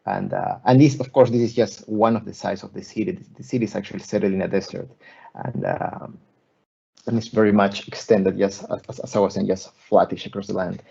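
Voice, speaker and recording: A man in his thirties presents his work over a video call, speaking haltingly, often restarting and repeating words. His low voice is heavily gravelly and husky, with a dark, mellow tone. The call audio sounds dull and muffled. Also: steady tone. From Peru. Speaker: guest architect lecturer. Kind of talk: online lecture presenting slides.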